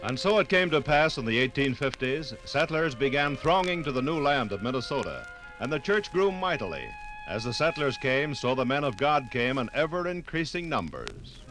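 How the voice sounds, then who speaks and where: A man's voice: mid-range voice, rough recording. An American man giving grand, formal scripted narration for a 1950s radio documentary. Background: faint music.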